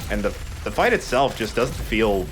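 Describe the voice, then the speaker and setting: A man's voice: fairly low pitch, sing-song, normal speed. A man giving casual, joking, sweary commentary as he plays a video game with friends. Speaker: let's play host playing the game. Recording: faint background noise.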